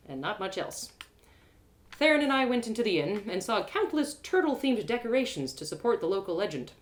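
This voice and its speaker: A woman reading her own audio drama aloud live, with an expressive delivery, often laughing at her own lines. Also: mid-range voice. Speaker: storyteller.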